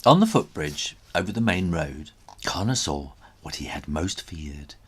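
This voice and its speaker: A man reading a children's story aloud in a dramatic, expressive way. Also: very deep voice, unhurried, slightly rough.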